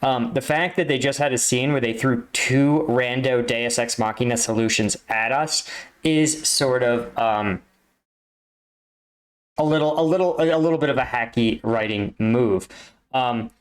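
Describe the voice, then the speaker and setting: A man's voice: mid-range voice, a little slow. Male reaction host, casual and critical, explanatory delivery with an exasperated streak. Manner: exasperated, explanatory, rhetorical "right?" tags. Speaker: reaction video host, army combat veteran.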